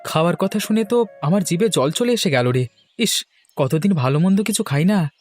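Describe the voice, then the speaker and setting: Eager, high-pitched young male villager. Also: animated, moderate pace, soft background music. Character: food-loving young villager, eager and hungry. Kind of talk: animated fairy-tale dubbing.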